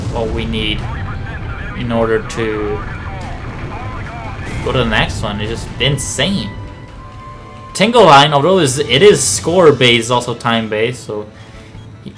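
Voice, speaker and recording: A man provides casual commentary on a video game in a mid-range voice. He talks in a loose, rambling way, working out his thoughts as he speaks. Game music plays clearly behind him. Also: medium pitch. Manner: rambling, conversational, thinking aloud.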